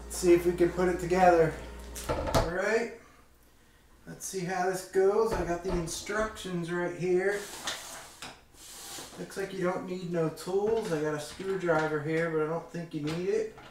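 A man's voice: high-pitched, fairly even intonation, measured pace, pauses often, a little mellow. A middle-aged man giving a casual hands-on demonstration in a folksy, informal, plain-spoken way. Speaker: hobby vlogger demonstrating a product. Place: small indoor room at home. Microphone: camera a few metres away. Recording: very echoey room, distant mic, faint noise.

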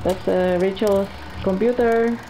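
A middle-aged woman walking through her house with a camcorder, naming each room in a halting, casual way. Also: mid-range voice, steady tone, background noise. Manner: halting, pointing things out one by one. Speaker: mother giving a house tour. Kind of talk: casual home-video narration. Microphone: built-in camcorder mic. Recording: echoey camcorder audio with music over it.

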